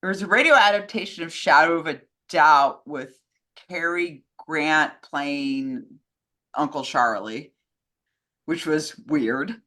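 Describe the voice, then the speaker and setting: A woman's voice: low-pitched, takes it slowly, fairly bright tone. A woman in casual film and radio chat, informal and opinionated, rambling with lots of "like". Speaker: co-host answering fan questions.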